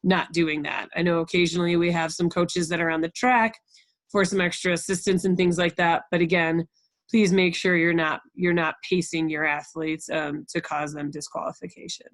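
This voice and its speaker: A woman's voice: lowish voice, level tone, normal speed, no room sound. An American woman leading a conference call as a program coordinator, giving an informal, encouraging briefing and often checking in with the group. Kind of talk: informal instructional briefing to coaches.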